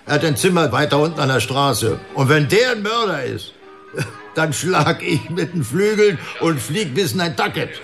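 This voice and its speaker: A man's voice: a little high, sing-song, moderate pace, a bit bright, soft background music. An actor in a radio drama playing a talkative, folksy cinema counter man, indignant and loyal.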